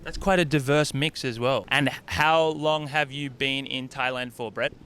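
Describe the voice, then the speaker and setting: A man hosting a street interview outdoors, asking quick, upbeat questions. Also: medium pitch, steady tone, a bit bright, clean tone.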